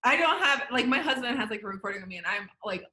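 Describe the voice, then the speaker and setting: Bright-voiced chatty young woman. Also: a little high, animated, quick pace. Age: 20s. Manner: rambling, heavy use of "like". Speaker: podcast guest. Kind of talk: casual chat.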